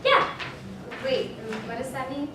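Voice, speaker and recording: A woman's voice: high voice, sing-song, a little slow. A woman playing a sweet, clueless eight-year-old girl with an unsure, childlike manner. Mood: innocent, unsure. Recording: echoey hall, distant mic, noisy.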